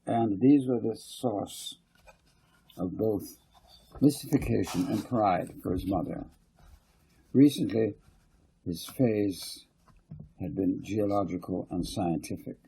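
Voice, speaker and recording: Male audiobook narrator, low pitch, gravelly, mellow, scripted storytelling. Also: takes it slowly, long pauses, slight background noise, rough recording. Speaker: author reading own book as narrator.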